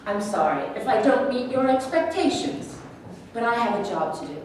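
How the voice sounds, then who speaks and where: An actress playing a middle-aged court doctor in a staged play reading, formal, composed and probing, with clipped questions. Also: female voice, a little high, very animated, normal speed. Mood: firm, exasperated. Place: live staged reading in an echoing room. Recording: echoey room, distant mic, background hiss.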